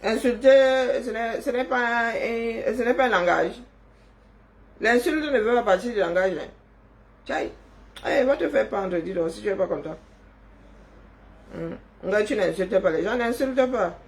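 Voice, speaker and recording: A woman delivers teasing, scolding commentary to viewers through her phone or webcam. She talks slowly and drawls her words, mocking her critics with sarcasm. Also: on the high side. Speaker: online commentator. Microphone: webcam or phone on a screen.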